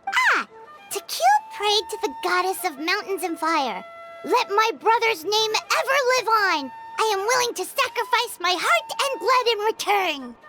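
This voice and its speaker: A tiny floating fairy sidekick from an animated game. The voice is extremely high and squeaky, with lively swings of pitch and a childlike, chattering excitability. Music plays prominently behind it. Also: female voice, a little slow, exaggerated voice. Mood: excited, cheerful, then dramatic recital. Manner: refers to self in third person, exclamatory. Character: small chirpy floating fairy companion, childlike, talkative, excitable. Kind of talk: animated video game voice acting.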